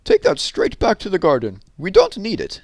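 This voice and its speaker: Man playing a tidy mother bear, firm, pitched up. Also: wildly swooping pitch, normal speed, darkish tone, slightly rough, no echo, studio quality, full character voice.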